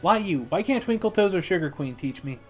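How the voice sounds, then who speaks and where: A man's voice: sits high, expressive, brisk, faint music behind, pitched above the performer's own voice. A man voicing a stubborn, sarcastic teenage girl who complains bluntly in a story reading. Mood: stubborn protest.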